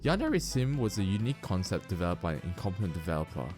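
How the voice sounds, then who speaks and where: Lowish-voiced young man narrating over music. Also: very animated, fast talker, a little mellow. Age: young adult. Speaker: video essayist. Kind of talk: scripted narration, informal video essay. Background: music behind the voice.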